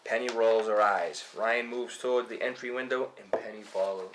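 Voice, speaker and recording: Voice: mid-range voice, normal speed, noisy background. A reader narrating scripted stage directions at a table read.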